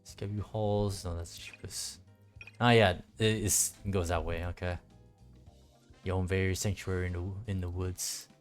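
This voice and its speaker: A man's voice: deep voice, little pitch movement, moderate pace, faint music behind. A man streaming a game with casual solo commentary, often muttering to himself and casually swearing.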